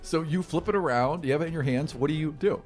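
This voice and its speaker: A man's voice: a little high, fast, a little mellow, soft background music. A man acting as game master, improvising narration for a tabletop fantasy game in a conversational, encouraging way.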